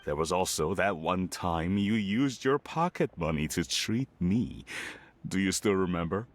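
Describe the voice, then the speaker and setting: A man voicing a gentle, nostalgic father in a video game, speaking tenderly. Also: low-pitched, expressive, unhurried. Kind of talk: video game character dialogue.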